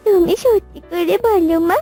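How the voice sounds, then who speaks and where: Woman doing a high-pitched wheedling little bird girl. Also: a little slow, mellow, soft background music. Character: small hungry child bird, a pleading daughter. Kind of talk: cartoon character voice.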